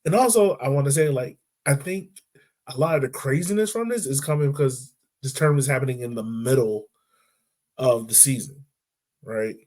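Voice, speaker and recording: A man's voice: medium pitch, moderate pace, darkish tone. A man co-hosting a casual sports podcast, talking loosely and filling his points with "like" and "right?". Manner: laid-back, chatty, lots of "like" and "right?". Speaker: podcast co-host.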